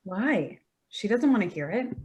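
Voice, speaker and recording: A woman's voice: mid-range voice, big pitch swings, a little slow, a little mellow. A woman playing an exasperated, defensive adult daughter in a staged play reading, speaking tersely and bristling.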